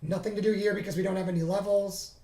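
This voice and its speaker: A man hosting a trading walkthrough who talks casually and thinks aloud, halting and correcting himself, and is losing his voice. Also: high-pitched, steady tone, dark tone, reverberant. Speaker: trading streamer. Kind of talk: casual screen-share walkthrough.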